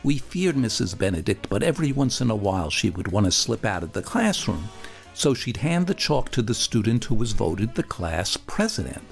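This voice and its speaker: A male storyteller with a creaky, gravelly voice narrates a first-person memoir from a script. He is theatrical and deliberate, stretching words for emphasis. Music plays faintly underneath. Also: fairly low pitch, very animated. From Brooklyn, New York, United States.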